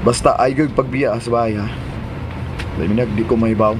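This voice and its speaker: A man's voice: mid-range voice, fairly even intonation, fairly quick, natural voice. An actor in a radio drama playing a stern, possessive man. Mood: stern, warning. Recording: traffic and outdoor ambience noise.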